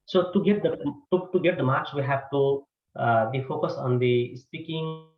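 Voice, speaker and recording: A man's voice: mid-range voice, normal speed. A man who is a student in a test preparation class, speaking hesitantly in discussion. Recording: echoey, dull lo-fi sound.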